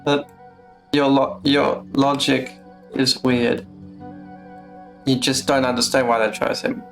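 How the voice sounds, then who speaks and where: A man's voice: medium pitch, flat delivery, takes it slowly, vocal fry, a bit bright, faint music behind. A man casually commenting on a game as he streams it live.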